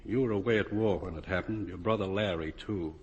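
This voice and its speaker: A man playing an anxious, guilt-ridden older business partner in a 1950 radio drama, with a husky voice. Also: sits low, highs cut off. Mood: weary, sorrowful. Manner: anxious, halting.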